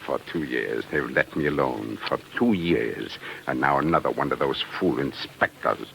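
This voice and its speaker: Very deep-voiced cruel prison commander. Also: male voice, normal speed, vocal fry. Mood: furious, rattled. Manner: theatrical, imperious, blustering at underlings. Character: cruel, gloating Tsarist prison camp commander, short-tempered bully. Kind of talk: 1940s radio drama acting. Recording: noisy old 1940s radio recording.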